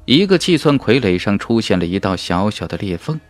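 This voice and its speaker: A man's voice: fairly low pitch, big pitch swings, brisk, dry recording. A man reading a fantasy novel as an audiobook narrator in an emphatic, suspenseful, dramatic style.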